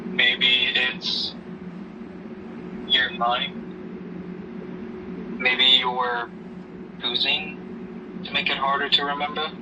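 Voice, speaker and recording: A man playing a grieving widowed father who gently counsels his daughter in a halting, measured way in an amateur audio drama. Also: completely flat delivery, highs cut off. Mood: gentle, somber. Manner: halting, subdued, measured delivery. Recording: noisy rumbling background, distant mic.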